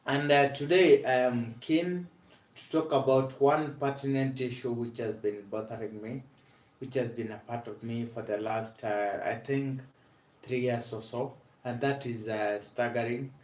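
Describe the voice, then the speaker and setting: A young Kenyan man with a Kenyan accent, telling his own story about living with multiple sclerosis in an earnest, halting way full of "basically" and "uh". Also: mid-range voice, fairly even intonation, darkish tone. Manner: earnest, repeats "basically" and "uh", frequent restarts. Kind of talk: personal awareness vlog, storytelling. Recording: echoey room.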